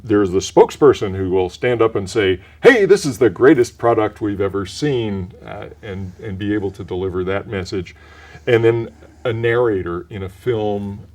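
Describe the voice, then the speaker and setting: A mellow-voiced older man with a somewhat low pitch talks through his work in a community TV interview. He speaks in a measured, explanatory way and often restarts his sentences. He sounds relaxed and informative. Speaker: voice actor guest.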